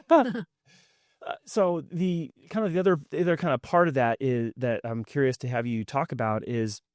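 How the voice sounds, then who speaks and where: A man's voice: mid-range voice, normal speed, no echo, studio quality. A man hosting a podcast interview, joking and rambling with frequent restarts. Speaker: podcast host. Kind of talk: conversational interview.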